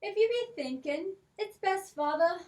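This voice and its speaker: A woman's voice: very high-pitched, animated, unhurried, a little mellow. A woman voicing a brave, earnest young girl in a children's puppet show. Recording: echoey room, distant from the mic.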